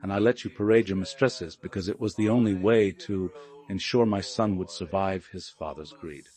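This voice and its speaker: A wronged noblewoman played by a male narrator, hushed and low. She speaks with icy control and quiet resolve, as in a dramatic audiobook. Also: normal speed, close to an everyday voice. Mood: cold resolve.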